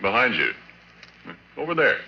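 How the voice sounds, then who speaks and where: An actor playing a rough, easygoing oil-rig worker in 1940s radio horror style, with colloquial working-man speech. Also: mid-range voice, sing-song, normal speed. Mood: folksy, casual. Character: rough, folksy, easygoing oil-rig roughneck telling his eerie tale. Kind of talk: 1940s radio horror drama acting, first-person narration and dialogue. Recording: muffled, noisy vintage radio recording.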